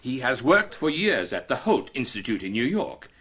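An actor playing a distraught, indignant academic expedition leader in a radio drama, protesting in formal, agitated speech. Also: male voice, medium pitch, sing-song, moderate pace, sharp tone, slightly rough, exaggerated voice. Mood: disbelieving, indignant.

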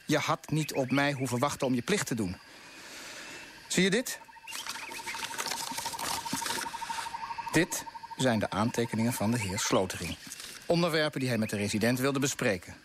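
A man's voice: medium pitch, lively intonation, brisk, noisy background, lightly acted. A man acting a righteous, idealistic colonial official in a radio drama, speaking in an earnest, insistent, declamatory way. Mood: reproachful, indignant. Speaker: actor in a radio play.

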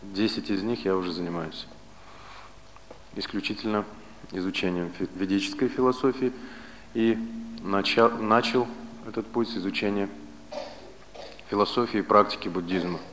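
A man in his late thirties giving a spiritual philosophy lecture, speaking deliberately in short, didactic phrases with pauses and repetition. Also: on the low side. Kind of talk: lecture on Vedic philosophy to a live audience. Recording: reverberant hall, loud steady background noise.

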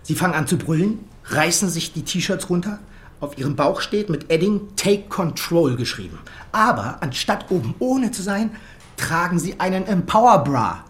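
An actor playing a slick, self-assured advertising creative in a naturalistic radio drama, glib and full of jargon. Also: male voice, high-pitched, very animated, normal speed. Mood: pitching.

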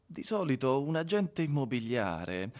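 An actor playing a husband looking back on events, narrating a radio drama in a measured, reflective way. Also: male voice, medium pitch, very animated. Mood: tense recollection. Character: reminiscing husband recounting a strange house viewing.

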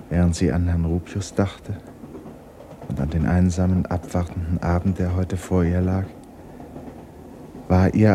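A man narrating a literary radio drama in a solemn, measured storytelling manner. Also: low-pitched, mellow, slight background noise.

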